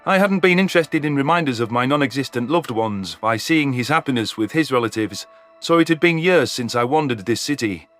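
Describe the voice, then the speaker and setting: A man's voice: mid-range voice, animated, brisk, a bit bright. A man reading scripted first-person science fiction narration as an audio story narrator. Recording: music under the voice.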